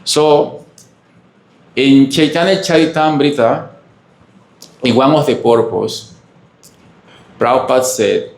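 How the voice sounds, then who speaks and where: A man from Peru with a Peruvian Spanish accent, a native Spanish speaker, giving a halting spiritual lecture full of fillers and questions to the audience. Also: mid-range voice. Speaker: monk giving a talk. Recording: echoey room.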